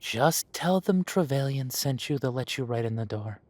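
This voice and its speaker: A man playing a genial, long-lived elven café owner who reminisces in an unhurried, chatty way, in a roleplay audio drama. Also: medium pitch, animated, darkish tone, no room sound, natural voice. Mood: genial, reminiscing. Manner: unhurried, musing, conversational with pauses.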